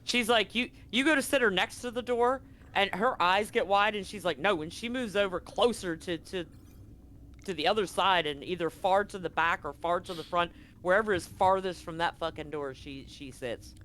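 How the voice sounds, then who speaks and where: A woman's voice: lowish voice, moderate pace, a bit bright. A woman game master improvising narration for a tabletop game, casually swearing, on a live stream with faint noise. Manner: casually profane.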